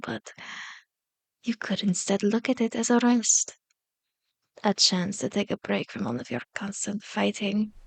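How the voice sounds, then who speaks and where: A woman playing a powerful elder vampire in an ASMR roleplay, seductive and taunting, with slow, deliberate, formally worded speech. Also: mid-range voice, gravelly, dry recording. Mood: coaxing, hypnotic. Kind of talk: ASMR roleplay, scripted monologue. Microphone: close microphone, dry recording.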